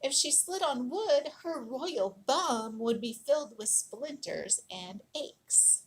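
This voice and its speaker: Animated, high-pitched woman reading a storybook. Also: a little hiss or hum, rough recording. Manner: dramatic, emphatic storytelling with drawn-out words. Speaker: storybook reader. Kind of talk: expressive read-aloud of a children's picture book.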